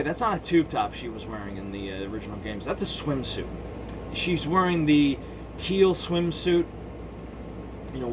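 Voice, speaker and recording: A man giving casual, opinionated commentary in a halting, blunt way, often interrupting himself to rephrase and swearing freely. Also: on the high side, background noise. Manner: halting, self-interrupting, circling back to rephrase; blunt, crude slang and swearing. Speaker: video game movie commentator.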